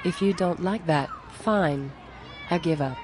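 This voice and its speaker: An exasperated, down-to-earth cartoon friend, played by a woman, speaking plainly and conversationally. Her voice is a bit on the low side, with faint outdoor noise behind it. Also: measured pace, a crowd in the background, natural voice. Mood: resigned. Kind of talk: scripted animated dialogue.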